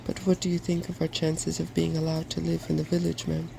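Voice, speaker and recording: A man voicing a hungry, timid, plaintive hippogriff in a soft-spoken storybook reading. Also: on the high side, completely flat delivery, fairly quick, vocal fry, background noise. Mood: pleading. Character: hungry, timid, gloomy hippogriff, nostalgic for feasts.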